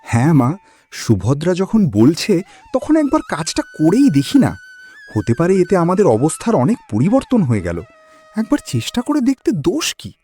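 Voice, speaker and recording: A man acts out a supportive young village husband in a cartoon. The voice is fairly high and mellow, with earnest, protective delivery. Light music plays behind. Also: wildly swooping pitch, normal speed, slightly rough, exaggerated voice. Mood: encouraging. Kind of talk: cartoon voice acting.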